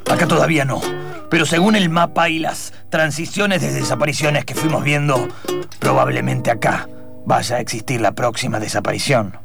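Man playing an exasperated, gravelly police chief, Argentine. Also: mid-range voice, normal speed, music in the background, lightly acted. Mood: exasperated, urgent. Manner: scolding, emphatic, pompous. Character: exasperated bossy male police chief of bungling officers. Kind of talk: improvised comedic radio theatre.

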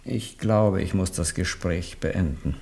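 A man's voice: deep voice, gravelly, darkish tone, exaggerated voice. An actor playing a cautious, insinuating adviser who warns the king in a radio play. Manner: measured, warning, insinuating. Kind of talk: 1970s radio play acting.

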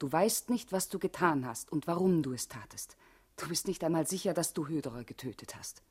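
An actress playing a guarded, urgent female party comrade in a German radio play, speaking gravely and deliberately with a husky, intimate voice. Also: fairly low pitch, a little mellow.

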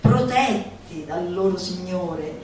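A woman performing a theatrical, comic declamation with an emphatic, drawn-out delivery. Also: fairly low pitch, animated, a little mellow, slight background noise. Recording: echoey live recording from far off. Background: music.

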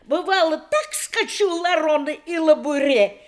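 An actress playing a sly, merry old provincial aunt in a verse radio comedy, with a folksy, teasing, affectionate way of talking. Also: female voice, high-pitched, animated, measured pace. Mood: merry nostalgia. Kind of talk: theatrical verse comedy radio play acting.

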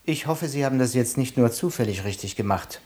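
An actor playing an officious, pedantic sales trainer in a radio play, instructing in a pompous, theatrical manner. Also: male voice, mid-range voice, animated, fairly quick, darkish tone, natural voice. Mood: strict, lecturing. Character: officious, pedantic male sales trainer drilling his pupils.

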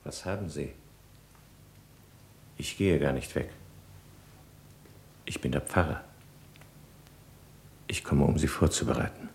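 A very deep, creaky voice. a prison chaplain in a radio drama, quiet, calm and solemn. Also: male voice, takes it slowly, pauses often, exaggerated voice. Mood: solemn, subdued. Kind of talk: German radio play acting.

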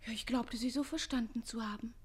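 An actress playing a crafty, coquettish young chambermaid in a radio play, with a demure and coy manner. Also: female voice, a little high, fairly even intonation, a little fast, husky. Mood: coy, scheming. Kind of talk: classic German radio play acting.

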